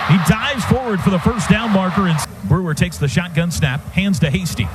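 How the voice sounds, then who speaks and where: High-pitched man calling football play-by-play. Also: brisk. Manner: urgent, present-tense running call. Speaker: radio play-by-play announcer. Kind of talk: live football commentary, clipped phrases. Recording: noisy live stadium radio broadcast.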